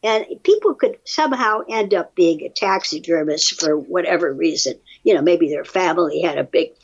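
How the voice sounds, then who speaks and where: A woman with a husky voice chatting casually as a podcast guest, musing aloud with firm opinions and rhetorical questions. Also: on the low side, expressive, moderate pace, highs cut off. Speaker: podcast guest reacting to research studies. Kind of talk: casual conversational commentary.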